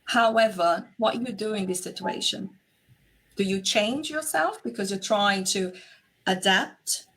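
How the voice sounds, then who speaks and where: A woman's voice: medium pitch, unhurried, fairly bright tone, poor audio. A woman from Brazil, a native Portuguese speaker with a Brazilian accent, talking earnestly and directly as an interview guest. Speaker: interview guest, relationship coach. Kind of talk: reflective interview talk.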